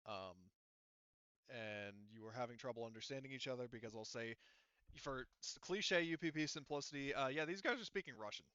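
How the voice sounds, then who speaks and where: A man runs a tabletop roleplaying game in a bright, forceful voice. He talks informally as game master, on a close, dry mic with slightly muffled top end. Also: medium pitch, a little fast, clean tone, no echo.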